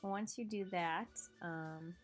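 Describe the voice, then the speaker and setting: A woman with a nasal, slightly congested voice talks unhurriedly through a knitting tutorial. Her pitch sits a little low and she counts stitches aloud between easy fillers. Soft music plays faintly behind her. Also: slow. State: sick and nasal. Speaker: knitting instructor. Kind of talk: casual step-by-step tutorial voice-over.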